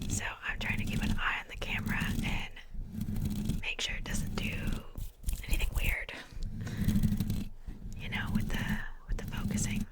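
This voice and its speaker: Slowly whispering woman ASMR host. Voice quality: breathy, unvoiced whisper. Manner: slow, pausing, repeats words softly.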